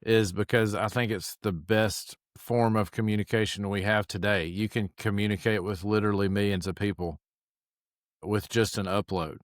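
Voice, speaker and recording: Low-voiced man hosting a podcast. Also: steady tone, moderate pace, no echo, clean studio sound. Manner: chatty, affirming, repeats words. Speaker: podcast host. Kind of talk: casual interview talk.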